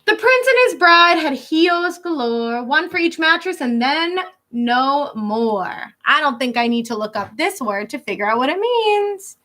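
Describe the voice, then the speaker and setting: Bright, high-voiced woman reading a storybook aloud. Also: sing-song, a little slow. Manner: teacherly, stops to translate and explain words simply. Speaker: elementary school teacher reading aloud to pupils. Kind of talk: expressive picture-book read-aloud with explanatory asides.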